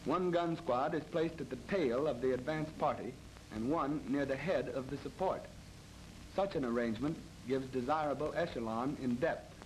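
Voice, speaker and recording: A noisy old film soundtrack from the 1930s carries an American man reading scripted military instruction. His voice sits at a medium pitch, and his delivery is formal, measured and matter-of-fact, with some noise around the words. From United States. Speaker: military training film narrator.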